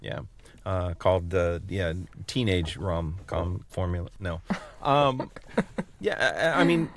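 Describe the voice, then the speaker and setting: A man co-hosts a casual interview in conversational style. His voice is low and noticeably creaky, with plenty of vocal fry. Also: slow pace, a little hiss or hum. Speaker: podcast co-host, voice actor.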